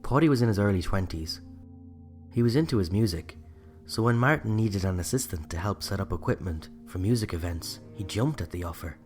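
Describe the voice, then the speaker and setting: A man with an Irish accent narrating a scripted true-crime story in a measured, reflective way. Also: on the low side, animated, a little mellow, soft background music. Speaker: writer and narrator.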